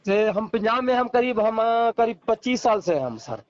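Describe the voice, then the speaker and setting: A man's voice: high-pitched, big pitch swings, normal speed. A middle-aged man chatting casually on the phone, effusive and deferential toward the person he is talking to. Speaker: fan calling in, factory worker. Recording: muffled phone call recording.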